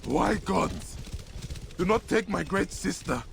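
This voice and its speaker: A man's voice: high-pitched, lively intonation, slow, creaky, background noise, exaggerated voice. An actor playing an earnest, resolute male warrior leader in ancient Egypt, in a dramatic video game style. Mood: grieving, solemn.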